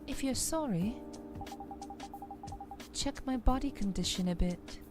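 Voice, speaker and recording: Lady doing a cute flustered robot girl, game voice, high n slow, pleading girlish tone. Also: animated, music in the background. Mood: coy, concerned. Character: pretty robot girl customer, sweet and flustered.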